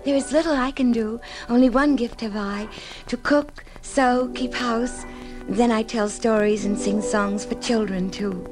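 A woman performing a gentle, modest fairy-tale maiden and storyteller in a formal, lilting old-time radio style. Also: on the high side, fairly even intonation, unhurried, natural voice, rough recording. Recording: old radio recording under loud music.

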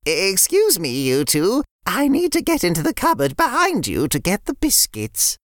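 A woman's voice: mid-range voice, wildly swooping pitch, moderate pace, no echo, clean studio sound, pitched above the performer's own voice. A woman voicing a kindly older grandmother who loves biscuits, in a fussy, chatty way. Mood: fond.

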